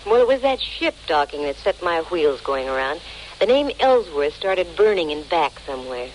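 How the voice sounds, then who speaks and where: A woman's voice: low voice, big pitch swings, normal speed, low-quality recording. Wry lady private eye. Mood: wry, matter-of-fact. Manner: dry humour, slangy wisecracking. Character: wry, breezy female private detective. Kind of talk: 1940s radio acting. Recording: hissy old 1940s radio recording.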